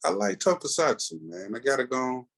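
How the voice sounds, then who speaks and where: A man's voice: mid-range voice, sing-song, normal speed, creaky, husky. A man co-hosting a podcast in casual chat, with a laid-back, slangy, colloquial way of talking. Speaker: podcast co-host.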